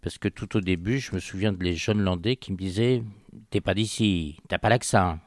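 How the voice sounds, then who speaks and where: Older man, slight Parisian accent, soft-spoken. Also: sits low, normal speed, no room sound, studio quality. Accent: slight Parisian French. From Paris, France. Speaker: radio guest, heritage association president. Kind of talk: casual radio interview. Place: radio studio.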